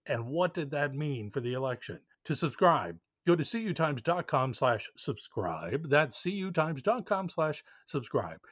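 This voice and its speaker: A man's voice: a little high, sing-song, unhurried, few pauses, fairly bright tone, no echo. Dry, slightly muffled male podcast co-host. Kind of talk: casual chat and informal promo reading. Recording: dry, slightly dull close recording.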